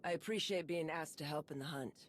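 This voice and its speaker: A woman's voice: low-pitched, a little fast. A woman acting a grim, honour-bound warrior hunter in a video game, formal and solemn in clipped statements. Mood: solemn, determined.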